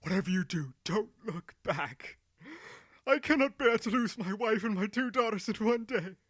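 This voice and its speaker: A weary old man, frantic with grief, begging urgently, as a male narrator puts him on. His voice climbs high and swings widely. The sound is close and dry. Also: sing-song, fast, no echo, exaggerated voice. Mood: pleading. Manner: pleading, urgent.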